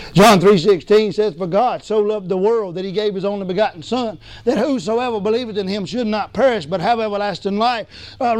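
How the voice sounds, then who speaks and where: A man preaching a sermon as pastor, hoarse from losing his voice, emphatic and calling for responses. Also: sits high, a little mellow, no room sound. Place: church sanctuary.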